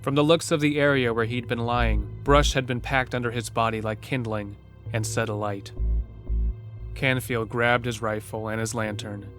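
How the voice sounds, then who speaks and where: A man's voice: mid-range voice, steady tone, moderate pace, a bit bright. Casting: male narrator for scripted horror fiction, mid-range voice, set over a prominent music bed. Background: music under the narration.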